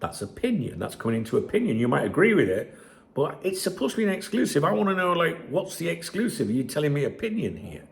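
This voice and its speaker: A man, croaky from a chest infection, giving sweary and sarcastic casual commentary on a news article as a football channel host. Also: on the high side, sing-song, fast talker.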